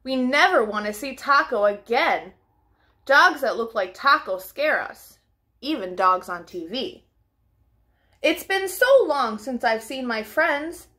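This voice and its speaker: A young woman reading a children's storybook aloud in an expressive, deliberate, child-directed way. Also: high-pitched. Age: young adult. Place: small furnished living room at home.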